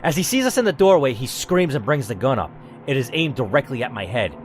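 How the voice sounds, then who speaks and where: A man's voice: medium pitch, sing-song, fairly quick, a little hiss or hum. A man reading an interactive story game aloud and stopping to think aloud about his choices, with the odd curse. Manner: reads in first person, then breaks off to think aloud and curse. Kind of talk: reading narration with playthrough commentary.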